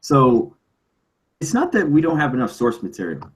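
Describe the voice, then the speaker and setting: A man speaks as a podcast panelist in a loose, casual debate. His voice sits at an average pitch, and he argues emphatically, repeating phrases to drive his point home. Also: huge pitch swings, normal speed. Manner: emphatic, argumentative, repeats himself for effect.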